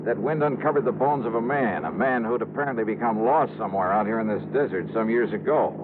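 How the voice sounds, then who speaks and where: An actor playing a shrewd American insurance investigator in a radio noir drama, probing and wry. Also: male voice, on the low side, fast, natural voice. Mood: thoughtful. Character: shrewd, questioning male freelance insurance investigator. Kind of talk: 1950s radio noir acting. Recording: tinny, noisy vintage radio recording.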